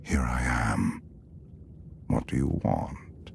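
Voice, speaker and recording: An actor playing an ancient immortal emperor, serene and supremely arrogant, with regal, deliberate, imperious video game delivery. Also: male voice, very deep voice, gravelly, slight background noise, exaggerated voice. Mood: imperious calm. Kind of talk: dramatic video game voice acting.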